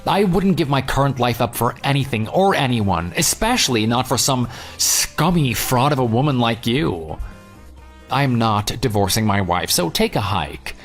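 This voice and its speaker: A man's voice: mid-range voice, expressive, moderate pace. A man playing a self-assured, unyielding jilted groom who leads and narrates an audio drama in a dry, sarcastic manner. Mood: firm, mocking. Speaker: narrator and lead character. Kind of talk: audio drama dialogue and first-person narration. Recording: music bed under the voice. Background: background music.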